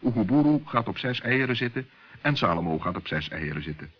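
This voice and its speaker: Male children's narrator: husky, lively intonation, muffled vintage radio recording. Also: fairly low pitch, a little fast. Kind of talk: expressive children's story narration.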